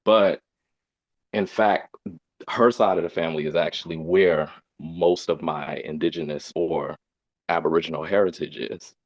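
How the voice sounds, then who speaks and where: A man with a very deep voice explains earnestly over a video call. The audio is dull and muffled, and it sounds dry and close, with no room around it. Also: steady tone, unhurried, dry recording. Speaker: community discussion participant. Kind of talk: casual discussion on a video call.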